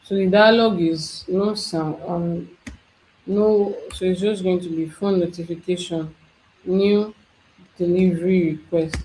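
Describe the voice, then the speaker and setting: An instructor talking through a software tutorial step by step, thinking aloud as they work. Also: mid-range voice, steady tone, a little slow, slight background noise, low-quality recording. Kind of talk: screen-share software tutorial.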